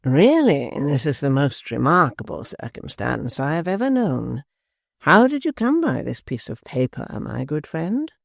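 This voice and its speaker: A man's voice: mid-range voice, expressive, slow, creaky, few pauses, a little mellow, no echo, studio quality, exaggerated voice. A man reading a storybook aloud, voicing a mild, ingratiating male secretary. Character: mild, sly male secretary, smoothly flattering.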